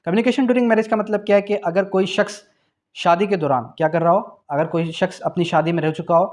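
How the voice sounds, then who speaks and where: Higher-pitched Pakistani man lecturing. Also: fairly even intonation, moderate pace, a little mellow. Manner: didactic, asks and answers own questions; repeats key phrases for emphasis. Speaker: law teacher. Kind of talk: exam revision lecture.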